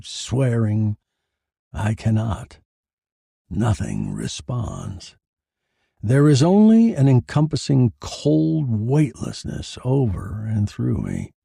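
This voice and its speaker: A man playing a murdered man who narrates his own noir horror tale, bitter, detached and deliberate. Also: lowish voice, creaky, dark tone, breathy edge, no room sound, clean studio sound, exaggerated voice. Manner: brooding, deliberate, dramatic. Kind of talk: scripted first-person noir horror narration.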